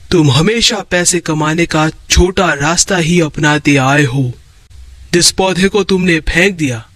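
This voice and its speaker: A stern, ancient magical guardian, played by a man in a cartoon story. He admonishes slowly and solemnly in a fairly high male voice, recorded dry. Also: a bit bright, no echo.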